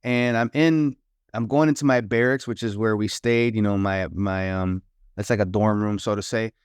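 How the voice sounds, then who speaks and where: A middle-aged man from New York tells stories as a podcast guest in an unhurried, reflective way. He drops in Spanish phrases and leans on "you know." His voice is smooth and resonant, and it sounds close and dry. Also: lowish voice, steady tone, no echo. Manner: reflective storyteller who slips into Spanish, many "you know" fillers. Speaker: guest, author and consultant. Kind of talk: casual interview talk.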